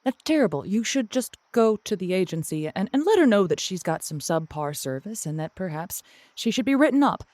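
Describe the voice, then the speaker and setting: A woman's voice: mid-range voice, big pitch swings, brisk, a little mellow, dry recording, natural voice. A woman playing a frank, sympathetic advice host on an improvised comedy call-in show. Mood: frank, counselling.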